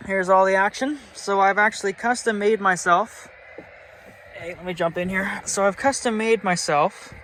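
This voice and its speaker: Enthusiastic high-pitched man, bright voice. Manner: enthusiastic, informal, chatty. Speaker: hobbyist vlogger. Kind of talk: casual show-and-tell vlog. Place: in and around a pickup truck.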